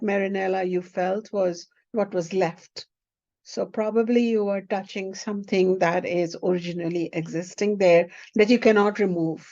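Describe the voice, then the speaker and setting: A woman whose first language is Hindi, teaching a spiritual class in an unhurried way and often checking in with the listener. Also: mid-range voice, mellow, no echo. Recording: dull lo-fi recording.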